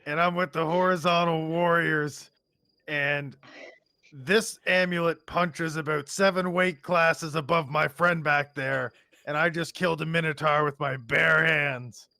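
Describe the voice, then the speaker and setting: A man's voice: on the high side, fairly even intonation, a little slow, creaky, bright, forceful tone, no echo, exaggerated voice. A man voicing a boastful adventurer who introduces himself grandly in a tabletop roleplay. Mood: boastful challenge.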